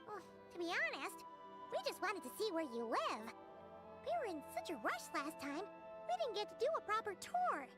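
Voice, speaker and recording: A tiny, skittish fairy girl from a fantasy game. The voice is extremely high, squeaky and childlike, swooping in an exaggeratedly cute way, with loud music behind it. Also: huge pitch swings, normal speed, full character voice. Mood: chirpy. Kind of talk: video game character dialogue.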